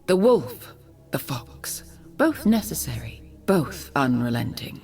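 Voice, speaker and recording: An actress voicing a regal, resolute young noblewoman mage in a video game, with a proud, measured, dramatic delivery. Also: very deep voice, very animated, a little mellow, soft background music, heavily acted.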